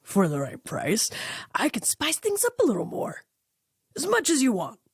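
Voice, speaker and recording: A woman's voice: on the high side, huge pitch swings, moderate pace, husky, dry recording, clean studio sound, voice pushed up, heavily acted. A woman voicing a cheeky, wheedling male poltergeist in an audio story, with a sly, slangy drawl. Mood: sly, bargaining. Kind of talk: fan fiction audio story character voice.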